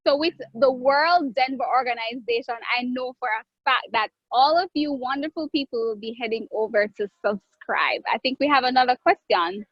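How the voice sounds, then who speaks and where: Jamaican woman, chef, chatty and unhurried, high voice, dry close sound. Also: dry recording, highs cut off. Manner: chatty, drawn-out phrases, frequent self-restarts. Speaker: guest chef. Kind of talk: live online cooking class Q&A.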